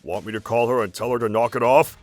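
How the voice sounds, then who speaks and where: A man's voice: medium pitch, fast talker, lightly acted. Young manga husband, played by a man: resentful, blunt, grumbling, music prominent underneath. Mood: concerned, irked. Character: young husband resentful of his nagging mother. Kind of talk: manga dub dialogue.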